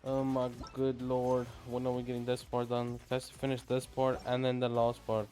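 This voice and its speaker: A man's voice: medium pitch, completely flat delivery, moderate pace, warm tone. A man streaming a video game, giving offhand, muttered reactions in casual commentary. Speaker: gaming streamer. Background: game music.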